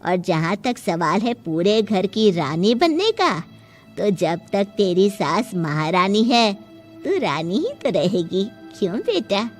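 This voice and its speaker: A woman voicing a playful, wise middle-aged mother who gives drawn-out advice in a cartoon moral story. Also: medium pitch, sing-song, faint music behind. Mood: wise, advising.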